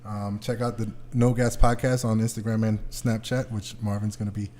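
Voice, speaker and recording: A man's voice: on the low side, little pitch movement, moderate pace, dark tone, a little hiss or hum. A man hosting a podcast, chatting casually with the group. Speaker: podcast host.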